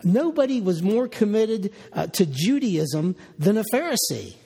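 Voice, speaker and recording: A man delivers a verse-by-verse sermon, reading a passage and then restating it in the first person. His voice sits high for a man and has a husky, gravelly edge. It rings with the long reverb of a large hall. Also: sing-song, measured pace, mellow. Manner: reads scripture aloud then paraphrases it in first person. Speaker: preacher. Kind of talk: expository Bible sermon.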